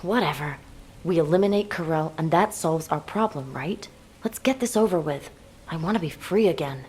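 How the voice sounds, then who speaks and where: A woman's voice: low-pitched, moderate pace, darkish tone, husky. An actress playing a cool, independent female fighter in a video game, curt and matter-of-fact. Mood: impatient. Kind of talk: video game cutscene acting.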